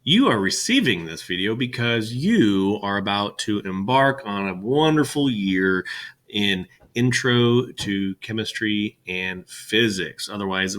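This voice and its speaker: A middle-aged male teacher with a loud, boisterous classroom voice, talking casually and rambling with fillers, recorded on a webcam in an empty classroom. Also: fairly low pitch. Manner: rambling, chatty with many fillers. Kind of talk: casual classroom welcome talk.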